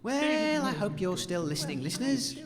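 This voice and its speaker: A man playing a polite, hesitant roving radio presenter in a comedy audio drama, speaking with animated awkwardness. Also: high voice, moderate pace, long reverb tail, a crowd in the background. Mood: awkwardly polite.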